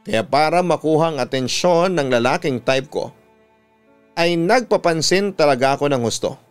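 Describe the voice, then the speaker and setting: A Filipino man narrating a listener's letter in the first person like a radio storyteller, in measured, deliberate phrases. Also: fairly high pitch, expressive, smooth. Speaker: radio announcer and storytelling host. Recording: music playing behind the voice. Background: instrumental music.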